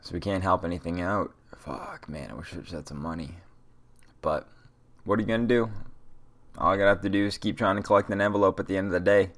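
A man's voice: deep voice, steady tone, fast talker, fairly bright tone. A young man hosting a solo podcast, ranting in a rambling, profane, motivational way, stuffy-nosed from a cold. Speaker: podcast host. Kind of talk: casual solo podcast rant.

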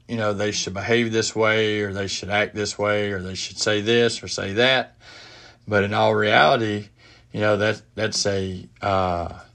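Middle-aged man, stuffy head cold, podcast host, slightly low voice, halting with lots of ums and uhs, home office. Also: fairly bright tone. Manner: halting, many fillers and restarts. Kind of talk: casual solo podcast talk.

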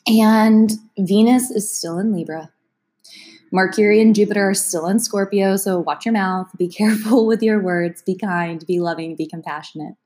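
Woman w a cold, kinda congested, chatty astrology vlog, soft and easygoing. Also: medium pitch, normal speed. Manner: chatty, gentle, laughs between sentences. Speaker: astrology vlogger. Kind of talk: casual talk to camera.

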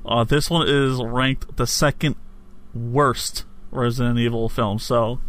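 A man's voice: mid-range voice, steady tone, slow, fairly bright tone. A man co-hosting a podcast in a casual, chatty style. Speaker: podcast co-host. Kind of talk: casual chat.

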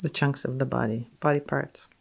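A woman's voice: a bass voice, steady tone, normal speed, creaky, slightly rough. A woman guesting on a podcast as a physicist, reading aloud and commenting casually in an offhand, matter-of-fact way.

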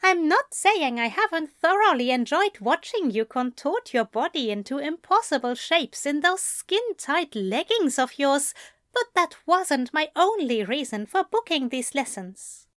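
A woman's voice: high-pitched, big pitch swings, unhurried, a bit bright, no room sound, studio quality, full character voice. A woman voicing a prim, contented man who teases his lover in a fan fiction audio drama. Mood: teasing. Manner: prim, playfully indignant.

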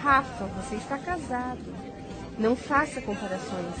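A woman's voice: high voice, lively intonation, moderate pace, crowd noise. An actress playing a seductive, yearning woman in love, in a Brazilian radio soap, with a Brazilian accent.

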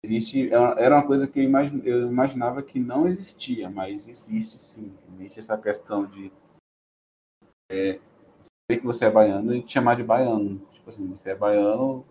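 Bahian man chatting with halting restarts. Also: mid-range voice, warm tone, a little hiss or hum. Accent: Bahian Portuguese. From Bahia, Brazil. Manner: hesitant, many restarts and fillers. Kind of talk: casual conversation.

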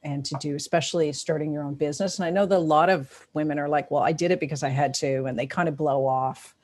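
A woman with a Toronto Canadian accent hosts an interview. Her voice sits very low and sounds husky and breathy. She talks in a chatty, enthusiastic way and is warm toward her guest. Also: fairly even intonation, fast. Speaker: podcast host. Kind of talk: casual interview chat.

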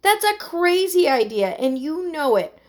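A woman's voice: high-pitched, big pitch swings, measured pace, voice pushed up. A woman voicing a sensible, serious boy in a children's story, firm and impatient with foolishness. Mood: exasperated.

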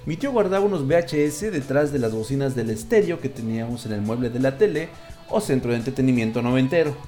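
A man's voice: mid-range voice, expressive, quick pace, dark tone, soft background music. A man with a Mexican accent hosting a casual online show, chatting and reading listeners' messages in crude, jokey slang. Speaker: host of a live listener-call-in style online show.

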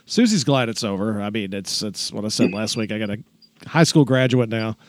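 A man's voice: fairly low pitch, animated, a little fast, slight background noise. A man in his forties, a regular on a casual podcast, chatty and storytelling, restarting sentences and laughing as he goes. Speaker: podcast co-host, school staff.

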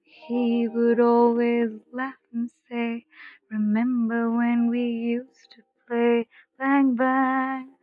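A woman singing a ballad softly in a slow lullaby style. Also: fairly high pitch, little pitch movement, no echo, narrow, tinny audio, soft background music.

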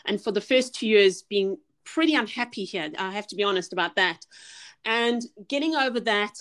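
A woman's voice: mid-range voice, normal speed, fairly bright tone. A middle-aged woman with a South African accent, a personal trainer and motivational speaker. She talks chattily and earnestly as a podcast guest, with many restarts and fillers. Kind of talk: casual podcast interview.

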